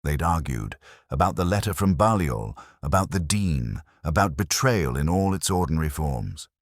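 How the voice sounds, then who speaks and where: Male narrator, extremely low voice, expressive pitch, measured and reflective delivery. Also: slight background noise. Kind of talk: scripted first-person detective narration.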